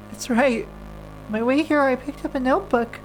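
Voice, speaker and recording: A woman's voice: high-pitched, a little slow, poor audio. Nasal, congested woman streamer. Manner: reads game lines plainly in first person, breaking off to chat. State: congested from a winter cold. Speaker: game streamer. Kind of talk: casual chat with chat, reading game text aloud. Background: faint game music.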